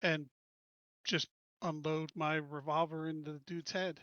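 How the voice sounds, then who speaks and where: Slow-talking man with a bright voice. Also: fairly high pitch, level tone, no echo, lo-fi sound. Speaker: tabletop game player. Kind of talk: casual tabletop game chat.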